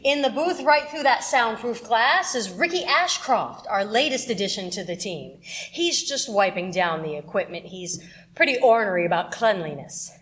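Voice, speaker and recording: A woman playing a brisk, persuasive radio station manager in an audio drama, casual and confident. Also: medium pitch, animated, a bit bright, lightly acted. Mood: businesslike.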